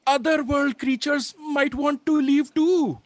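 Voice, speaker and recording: A woman's voice: sits high, takes it slowly, no room sound. A woman playing an anxious, deferential junior aide in an audio drama. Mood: worried.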